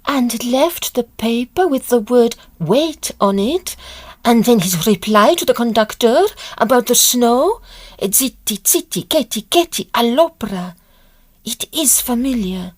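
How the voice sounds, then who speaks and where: Period audio drama: woman playing proper, worried lady of an opera troupe, high voice, refined old-fashioned phrasing. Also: animated, a little slow. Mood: worried, wistful.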